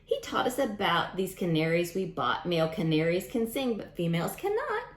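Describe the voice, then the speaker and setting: A woman reading a picture book aloud to young children in an expressive way, adding chatty asides directly to her listeners. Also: on the low side, moderate pace, roomy sound.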